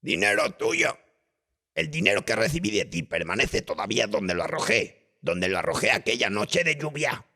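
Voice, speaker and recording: A man voicing a bitter, defiant old drunken wretch in a horror audiobook, with a raspy, strained voice and Castilian Spanish accent. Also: on the low side, very animated, normal speed, voice pushed up, heavily acted. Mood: scornful, angry. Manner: dramatic, erupting from hisses into shouts. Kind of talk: dramatised audiobook horror tale.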